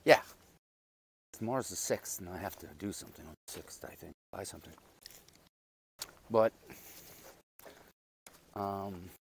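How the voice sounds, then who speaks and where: A man with a deep, gravelly voice that is a bit raspy after a loud night out. He chats in a loose, self-deprecating way, wandering from thought to thought. He is recorded on a lapel mic outdoors in a backyard garden. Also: unhurried, pauses often, a little hiss or hum, poor audio. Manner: rambling, self-deprecating, chatty. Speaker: gardening vlogger. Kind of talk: casual chat while doing garden chores.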